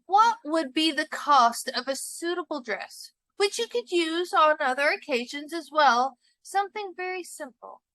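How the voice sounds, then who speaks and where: Woman reading as an insistent, high-pitched husband. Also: very animated, takes it slowly, bright, forceful tone, dry recording, heavily acted, rough recording. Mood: coaxing. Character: exasperated, well-meaning husband, a clerk.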